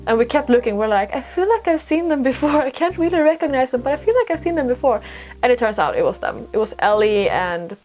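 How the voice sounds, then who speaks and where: A woman's voice: sits high, big pitch swings, fast talker, a little mellow, faint music behind. A young woman from Sweden, a native Swedish speaker, chatting casually and telling stories in an informal, self-deprecating way with rambling asides. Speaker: podcast host and vlogger. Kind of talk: casual chatty storytelling to camera.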